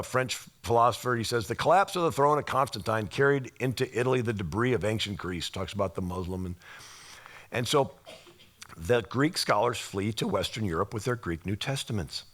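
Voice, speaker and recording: A man with a slightly raspy voice giving an informal history lecture, explaining things and posing rhetorical questions as he goes. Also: mid-range voice, a bit bright.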